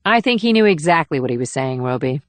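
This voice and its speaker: A woman's voice: low-pitched, animated, fast talker, dry recording. A woman playing a guarded, world-weary covert operative with an understated manner in an audiobook. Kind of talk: audiobook character dialogue.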